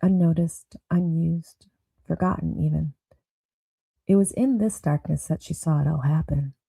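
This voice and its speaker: A woman narrating a noir audio drama with brooding, deliberate storytelling. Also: sits low, vocal fry, dark tone, slightly rough, no echo.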